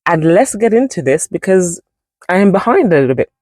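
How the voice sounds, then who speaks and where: A woman's voice: on the low side, very animated, fairly quick, a little mellow, no echo, clean studio sound. A woman in her forties hosting a casual devotional talk, rambling and correcting herself as she goes. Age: 40s. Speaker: Bible study channel host.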